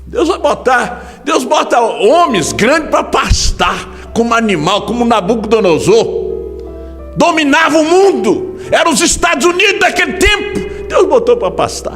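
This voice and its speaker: A man preaching a sermon as pastor in a Brazilian Portuguese accent, impassioned, swinging from hushed drops to sudden shouts. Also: very high voice, sing-song, moderate pace, in a large hall, faint music behind. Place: large church hall.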